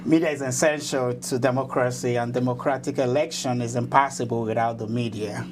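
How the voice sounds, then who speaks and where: A man's voice: mid-range voice, steady tone, a little fast, background noise. A man hosting an interview show in a formal, announcer-like way. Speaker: host.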